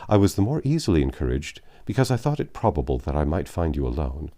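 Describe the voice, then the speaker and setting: Male narrator as anxious storybook gentleman colonel, low mellow voice, formal, halting, painfully earnest. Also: very animated, heavily acted. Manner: halting, self-correcting, formal. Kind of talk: storybook character reading.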